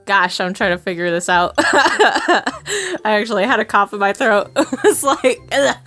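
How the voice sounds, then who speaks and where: A high, very lively female voice chats through recording outtakes at a relaxed pace. She giggles, mocks herself and swears as an amateur voice actor would between retakes. Soft music sits behind her. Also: takes it slowly. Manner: giggly, self-mocking, swearing. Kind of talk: recording session outtakes, flubbing and retaking lines.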